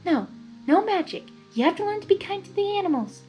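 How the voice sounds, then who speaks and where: Sweet storybook pony, woman acting her: high, hushed, breathy, kindly and patient but firm, over faint music. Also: lively intonation, a little fast, rough recording. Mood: softly insistent. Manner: tender, coaxing. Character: gentle, soft-spoken female pony, kindly but quietly firm.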